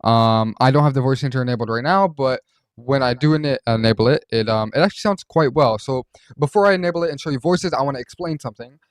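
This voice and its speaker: A man presents a software walkthrough with a mid-pitched voice. He talks informally and rambles a little as he explains. The recording is dry, with no room sound around him. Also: quick pace, no room sound. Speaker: host reviewing voice changer software.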